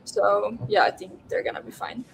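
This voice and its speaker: A woman's voice: medium pitch, steady tone, moderate pace, vocal fry, a little hiss or hum, rough recording. A young woman with a Slovenian accent, a basketball player guesting on a podcast, talking casually with many "like"s and "um"s. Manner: lots of "like" and "um", thoughtful. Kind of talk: casual interview chat.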